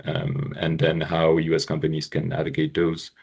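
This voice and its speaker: Very deep husky french-accented guy presenting a webinar, lots of uhs and ums. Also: completely flat delivery, a little mellow, highs cut off. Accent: Quebec French. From Quebec, Canada. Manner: measured, informative, frequent ums and uhs. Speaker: trade consultant presenting.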